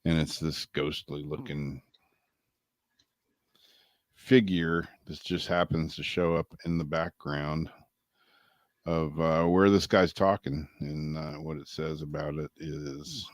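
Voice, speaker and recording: A very low-pitched man chats casually on a vlog, dropping dry, wry asides between stories. The sound is close and dead, with no room echo at all. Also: little pitch movement, slow pace, long pauses. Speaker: co-host. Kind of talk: casual vlog chat reading news aloud.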